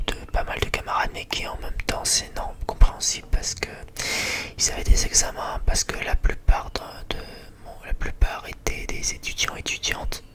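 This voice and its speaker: A man gives a whispered ASMR chat in a halting, rambling and hesitant way. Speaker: ASMR video creator.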